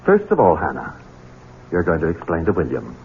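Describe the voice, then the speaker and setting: An actor playing a cold, scheming middle-aged master of a country estate, sardonic and domineering, in 1970s radio drama style. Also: male voice, sits low, wildly swooping pitch, fairly quick, full character voice. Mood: coolly scheming. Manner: sardonic, domineering, softly menacing. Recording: dull, noisy 1970s radio broadcast.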